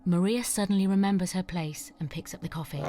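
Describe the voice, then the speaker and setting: A woman reads the stage directions of an audio drama with a notably low, even voice. Her reading is steady and measured. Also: soft background music. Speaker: narrator.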